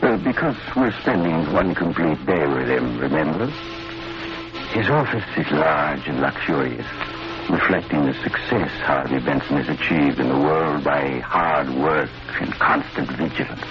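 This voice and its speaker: A man acting as a wry, genial storyteller in an old radio mystery, narrating in a measured, theatrical way. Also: extremely low pitch, animated. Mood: wry, ominous. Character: ominously genial male storyteller of murder tales. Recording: dull vintage radio recording, music behind. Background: music.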